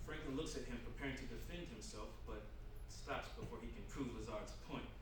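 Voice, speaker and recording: A man's voice: medium pitch, brisk. Man narrating a script at a staged reading, real echoey, far away, noisy bg. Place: live staged reading in a room. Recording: distant mic in echoey noisy room.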